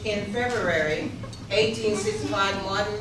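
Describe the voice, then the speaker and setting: A woman's voice: mid-range voice, measured pace. A woman reading scripted historical narration aloud as a narrator in a readers theater. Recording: distant, echoey hall, clear noise.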